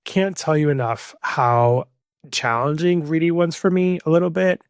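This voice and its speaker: A man's voice: on the high side, steady tone, normal speed, smooth, dry recording, clean studio sound. A young adult man, a native Spanish speaker, hosting a podcast alone and stressing his points earnestly with frequent repetition. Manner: earnest, emphatic, repeats key points. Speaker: podcast host. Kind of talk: solo podcast monologue.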